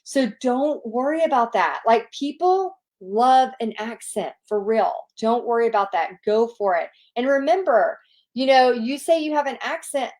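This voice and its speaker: Casting: chatty Southern woman, upbeat and encouraging, slightly higher voice, live Q&A host. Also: expressive, normal speed, darkish tone. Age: adult, nearly two decades of experience. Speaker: paint party instructor hosting a Q&A. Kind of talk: casual live Q&A, reading viewer questions.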